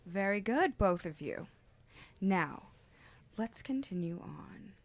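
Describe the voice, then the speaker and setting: A woman's voice: medium pitch, expressive, takes it slowly, no echo. A woman playing an encouraging class instructor in an amateur audio drama, speaking in an orderly classroom manner. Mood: businesslike. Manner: clear, orderly classroom address.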